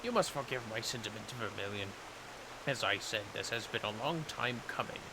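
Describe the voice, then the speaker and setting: Man playing a solemn, burdened fantasy warrior, expressive delivery. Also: lowish voice, moderate pace, background noise. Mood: earnest resolve. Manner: grave, formal, archaic phrasing. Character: solemn, honorable warrior burdened by guilt and dread. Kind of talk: dramatic read-aloud of fantasy game dialogue. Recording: prominent music behind the voice.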